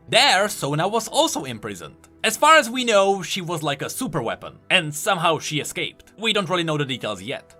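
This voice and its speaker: A man's voice: on the high side, pushed and bright. A man giving enthusiastic, emphatic explanatory commentary on video game lore.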